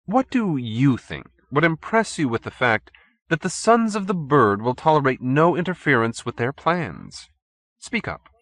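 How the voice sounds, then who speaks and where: A man's voice: mid-range voice, sing-song, measured pace, fairly bright tone, no echo, voice pushed up. A man voicing a haughty, urbane cult leader in an audiobook, pompous and sternly courteous. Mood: smooth menace. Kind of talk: audiobook character acting.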